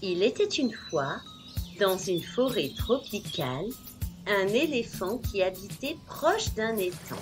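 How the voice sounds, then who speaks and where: A woman narrating fairy tales for small children in an expressive, deliberate scripted style. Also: medium pitch. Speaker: children's storyteller. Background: music.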